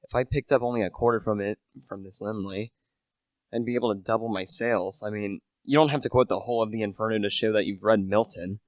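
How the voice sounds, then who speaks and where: A man's voice: fairly low pitch, fairly even intonation, fast talker, no echo, lightly acted. A teenage student actor playing a humble small-time salesman, hesitant and confiding, in an amateur radio play. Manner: hesitant, self-effacing, confiding. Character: humble, deferential small-time relish salesman narrating his tale. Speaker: high school drama student.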